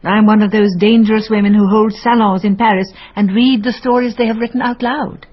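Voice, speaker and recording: An actress playing a worldly French countess and storyteller in a radio drama, husky, aristocratic and drily ironic. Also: female voice, medium pitch, steady tone, brisk, muffled recording, lightly acted. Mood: indulgent, proud.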